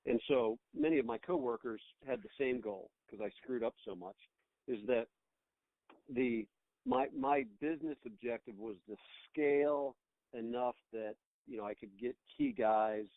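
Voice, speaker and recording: A man's voice: fairly low pitch, no echo. American man on a tinny phone line. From United States. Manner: reflective, rambling, often repeats words to rephrase. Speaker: small business owner being interviewed. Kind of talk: conversational phone interview. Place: on a phone call.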